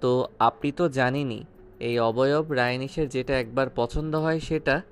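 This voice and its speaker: A man's voice: mid-range voice, steady tone, normal speed, lightly acted. A man playing a ruthless gangster moneylender in an audio story, cold and taunting with a hard edge. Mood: taunting, menacing. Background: background music.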